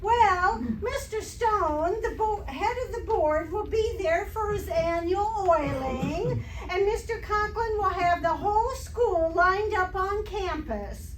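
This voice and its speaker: Woman acting as sardonic lady schoolteacher, very high voice, slow dry delivery, old radio comedy, echoey hall. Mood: dry, wry. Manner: dry, deliberate wisecracking. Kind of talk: 1940s radio comedy acting, staged revival. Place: live stage performance by theater troupe. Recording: echoey hall from far off, faint noise.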